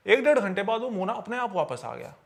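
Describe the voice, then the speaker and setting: A man's voice: high voice, very animated, a little fast, gravelly. A man telling paranormal tales in a conversational podcast style, often repeating and rephrasing phrases for emphasis. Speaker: podcast storyteller.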